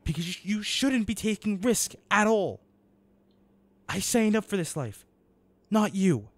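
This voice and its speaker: An actor playing a protective, worried male bodyguard in an intimate, dramatic audio roleplay, with halting, emotional delivery. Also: sits high, expressive, no echo. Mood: upset, loving.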